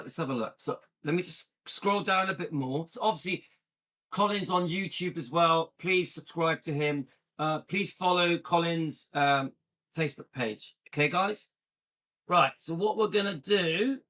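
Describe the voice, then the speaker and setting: A man's voice: a little high, unhurried, sharp tone. A man hosting a vlog, chatting casually and rambling to his viewers while browsing videos. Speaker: vlog host.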